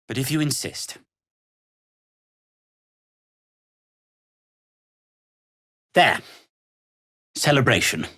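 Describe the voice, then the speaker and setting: An actor playing an earnest, worried male deep gnome tinkerer in a video game, with a dry, wordy and formal manner. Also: sharp tone, no room sound, heavily acted. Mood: brusque, weary. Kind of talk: video game character dialogue.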